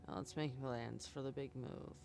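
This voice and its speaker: A man's voice: mid-range voice, fairly even intonation, normal speed, vocal fry, background noise. A man streaming a game live, giving informal commentary and reading aloud as he plays.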